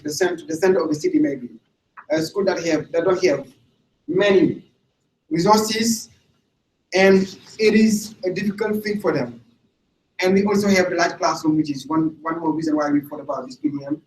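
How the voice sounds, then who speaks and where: A man from Senegal gives a teaching presentation, speaking deliberately and circling back over his words. His voice sits a little high for a man. He is recorded from a distance in an echoey room with faint ambient hiss. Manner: deliberate, with restarts and repeated words. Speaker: English teacher presenting a training module. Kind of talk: presentation to camera. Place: room without audience.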